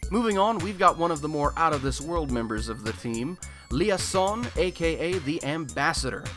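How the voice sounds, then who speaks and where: A man playing a nerdy, cocky young broadcast host in a superhero radio play, talking in a chatty, sarcastic and emphatic monologue. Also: a little high. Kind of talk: casual radio-show monologue in a scripted audio drama.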